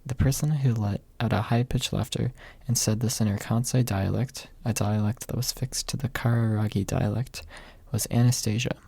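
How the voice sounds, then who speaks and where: A young man with a soft, husky voice, reading quietly in an ASMR audiobook style, close to the microphone. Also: fairly low pitch, steady tone, normal speed, few pauses, no echo. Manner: soft-spoken, hushed late at night. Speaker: amateur audiobook reader. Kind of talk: ASMR, quiet storybook narration. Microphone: close USB microphone without pop filter.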